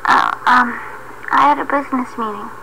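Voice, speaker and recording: Woman acting a timid, stammering wife, higher-pitched. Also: normal speed, gravelly, sharp tone. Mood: nervous, apologetic. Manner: hesitant, stammering, apologetic. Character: timid, placating wife of an abusive husband. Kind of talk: amateur audio play acting. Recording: noisy homemade recording.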